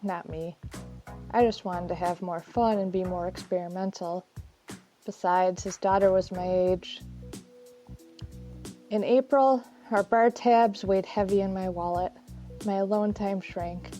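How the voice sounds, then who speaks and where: A woman's voice: mid-range voice, unhurried, long pauses, mellow, faint music behind. A woman reading her own personal essay as scripted first-person narration.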